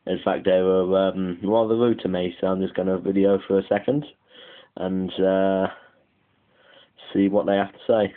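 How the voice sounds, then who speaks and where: A man's voice: low voice, little pitch movement, normal speed, narrow, tinny audio. A man giving polite, understated, casual asides as he makes a video. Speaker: video maker.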